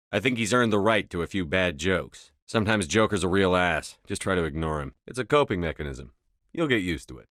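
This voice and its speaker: Low, firm military commander. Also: male voice, fairly bright tone, no echo, clean studio sound. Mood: steady, determined. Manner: terse, firm, controlled, commanding. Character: resolute, authoritative male military starship commander. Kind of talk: video game dialogue acting.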